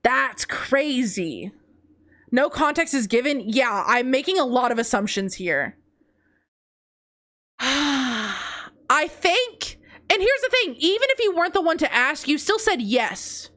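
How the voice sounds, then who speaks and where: Woman streamer, high and very animated, unhurried delivery, playful and teasing, slightly congested. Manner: playful, teasing, laughs often, swings between high squeals and low mutters. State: congested, runny nose. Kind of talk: casual live-stream chat reading confessions.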